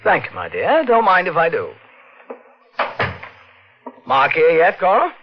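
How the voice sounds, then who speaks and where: A man's voice: fairly high pitch, wildly swooping pitch, unhurried, noisy background. A man playing a smug, greedy blackmailer in old-time radio drama, on a muffled old recording.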